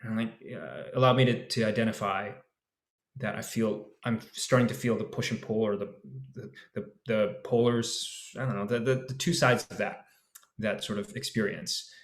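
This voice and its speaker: A man, an actor, talking on a discussion panel, reflecting slowly with many restarts and searches for words. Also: lowish voice, steady tone, echoey room. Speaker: actor in the play.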